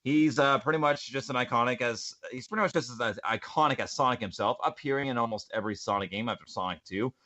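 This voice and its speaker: A young man hosting a podcast, animated and goofy, giving opinionated list commentary with casual banter. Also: mid-range voice, quick pace, few pauses. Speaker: podcast host and amateur voice actor.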